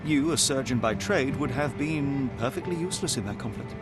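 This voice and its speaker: An actor playing a cerebral, self-assured young detective in a video game, with a British accent and precise, theatrical delivery. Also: male voice, medium pitch, lively intonation, brisk, natural voice. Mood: firm, reassuring. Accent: British English. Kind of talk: dramatic video game cutscene acting. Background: music.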